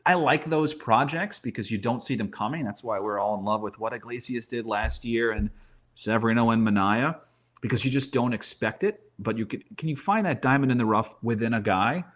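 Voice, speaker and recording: A man's voice: medium pitch, fairly quick, fairly bright tone. A man giving conversational sports commentary as a guest analyst, using rhetorical questions and emphatic repetition.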